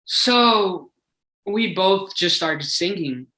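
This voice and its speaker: A man's voice: sits high, expressive, extremely slow, bright, forceful tone. A young man, a singing contestant, answering interview questions in an eager, chatty way with lots of filler words. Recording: echoey room.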